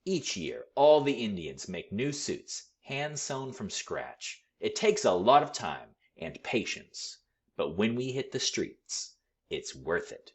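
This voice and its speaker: A man's voice: on the low side, big pitch swings, slow, pitched above the performer's own voice, heavily acted. A man voicing a proud, dedicated chief of a parading tribe in a storybook, with emphatic, chanting delivery. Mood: proud, earnest. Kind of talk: storybook character voice.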